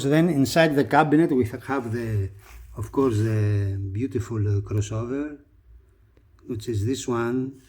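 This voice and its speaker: A man who is not a native English speaker presents a product unboxing casually, speaking in short, halting phrases. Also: mid-range voice, mellow. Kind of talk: casual unboxing demonstration talk.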